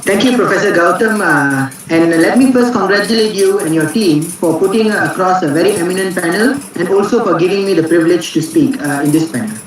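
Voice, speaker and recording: A woman with a Malaysian accent giving a formal prepared panel speech in a measured, emphatic, oratorical manner. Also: lowish voice, lively intonation. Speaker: research scholar and panel speaker. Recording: very echoey, distant mic, faint background noise.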